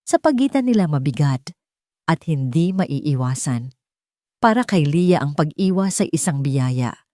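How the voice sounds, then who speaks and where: A woman's voice: low-pitched, warm tone, dry recording, studio quality. A woman with a Filipino accent reading a dramatic romance story as a scripted audiobook narration.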